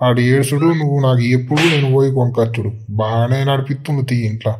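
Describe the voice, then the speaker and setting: A man's voice: mid-range voice, steady tone, quick pace, a little mellow, husky, lightly acted. A man voicing a grumbling, sarcastic village father in a comedy cartoon, with a Telangana accent. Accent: Telangana Telugu. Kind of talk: Telugu comedy cartoon dialogue.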